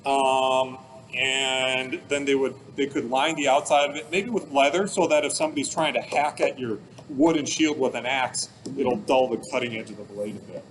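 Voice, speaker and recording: A man with a medium-pitched voice presents like a museum expert talking to children. His wording is casual and plain, with everyday comparisons. There is some noise behind him. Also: steady tone, moderate pace. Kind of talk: informal explanatory talk for children.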